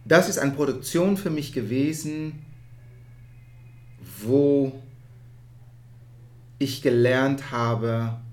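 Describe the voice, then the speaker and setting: A man from the Netherlands speaks German with a Dutch accent in a reflective interview, often halting to search for words in an animated way. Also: mid-range voice. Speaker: interview guest, musical theatre performer.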